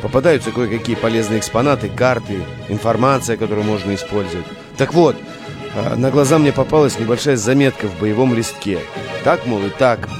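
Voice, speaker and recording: A man's voice: medium pitch, a little fast, lightly acted. Wry, gutsy relic hunter over music, man acting. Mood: earnest storytelling. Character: cynical, gutsy young relic hunter stranded in wartime. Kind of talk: Russian audio drama acting.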